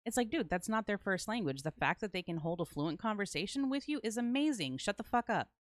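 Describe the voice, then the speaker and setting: A woman's voice: medium pitch, lively intonation, brisk, dry recording, clean studio sound. A Midwestern American woman hosting a podcast, talking bluntly in casual chat and swearing freely. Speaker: podcast host.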